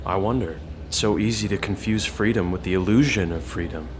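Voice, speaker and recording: Man acting a low-voiced, self-assured hacker. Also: a little fast, background noise, close to an everyday voice. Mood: musing. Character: confident, cagey male hacker turned company recruiter, philosophical. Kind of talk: full-cast audio drama dialogue.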